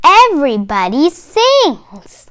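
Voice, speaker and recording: A child reading a children's storybook aloud in an expressive, sing-song way. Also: sits high, slow.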